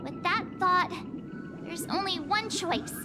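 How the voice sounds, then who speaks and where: A woman's voice: very high-pitched, slow pace, very noisy. A woman voicing a spirited, outspoken teenage girl in an anime-style game, youthful and emphatic. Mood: indignant. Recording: loud game music behind.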